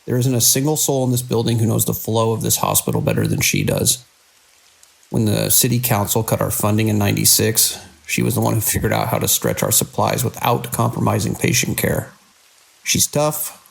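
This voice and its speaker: A man playing a weary, dedicated hospital director in an audio drama, speaking in a measured, grave way. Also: on the low side, sing-song, gravelly, breathy edge, full character voice, rough recording. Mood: grave, concerned. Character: weary, dedicated male hospital director under mounting strain. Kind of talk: audio drama acting, recorded log entries and phone call.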